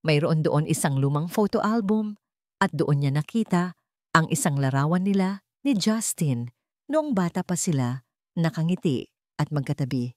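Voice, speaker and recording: A woman's voice: sits low, expressive, moderate pace, no echo, clean studio sound. A woman reading a scripted romance story as a dramatic narrator.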